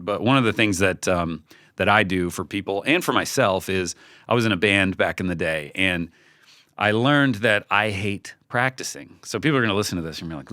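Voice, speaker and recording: Man, low voice, casual advice-giving, halting informal delivery, slips into imagined dialogue, dry close sound. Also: sharp tone, no room sound. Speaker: podcast guest, creative team leader.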